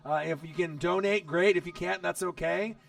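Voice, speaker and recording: A man hosting a casual live stream while watching football, chatty and excitable, his voice hoarse from losing it. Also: sits high, moderate pace, distant mic. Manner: excitable, chatty, talks straight to viewers.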